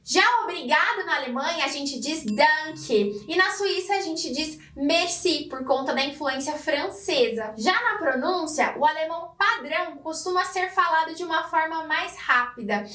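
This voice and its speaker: A woman from São Paulo with a Paulista accent, teaching a language lesson clearly and directly to the viewer. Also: sits high, lively intonation, moderate pace, few pauses, bright, forceful tone, echoey room, distant mic. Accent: Paulista Brazilian Portuguese. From Brazil (São Paulo). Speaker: German teacher. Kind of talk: explanatory online lesson.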